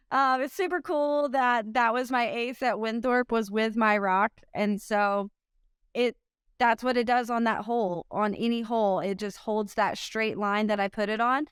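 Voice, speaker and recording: A woman in her thirties from Oklahoma, a pro disc golfer, answering interview questions in an upbeat, chatty way with frequent ums and quick laughs. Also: a little high, fairly even intonation, clean tone, no echo, studio quality.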